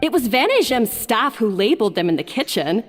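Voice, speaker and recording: Haughty, lively rival chef. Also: female voice, on the high side, brisk, in a large hall, faint music behind. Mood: smug taunting. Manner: haughty, taunting, theatrical. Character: smug, haughty young female rival chef, scheming. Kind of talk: video game voice acting.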